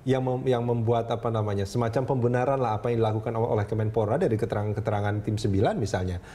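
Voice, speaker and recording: Low, mellow male TV news host. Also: fairly even intonation, rapid-fire. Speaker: TV news talk show host. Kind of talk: live studio interview presenting, conversational.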